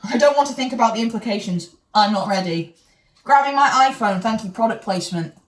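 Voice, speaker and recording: A woman's voice: on the high side, brisk. A woman reads a novel aloud and keeps breaking off to mock it with sarcastic, sweary asides. She is recorded from a distance in an echoey room. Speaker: reader of a novel. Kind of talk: mocking read-through with banter. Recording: echoey room, mic at a distance, faint noise.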